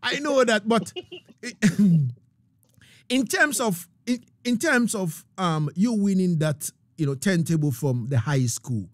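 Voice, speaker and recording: A man's voice: high-pitched, expressive, takes it slowly, no echo, clean studio sound. A man hosting a casual live radio interview, repeating phrases and checking that he is understood.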